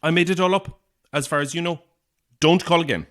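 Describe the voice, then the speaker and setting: A man's voice: fairly high pitch, steady tone, brisk, fairly bright tone, lightly acted. An actor playing an anxious, isolated young Irish man, edgy and sardonic, in a naturalistic audio horror drama. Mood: angry, alarmed.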